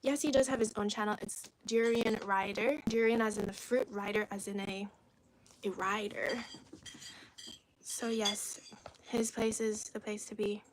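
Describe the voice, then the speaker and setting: A woman from China whose first language is Cantonese, chatting casually on a livestream into a phone, reading viewer comments aloud and often dropping to soft half-whispered asides. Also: medium pitch, steady tone, unhurried, noisy background. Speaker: livestream host.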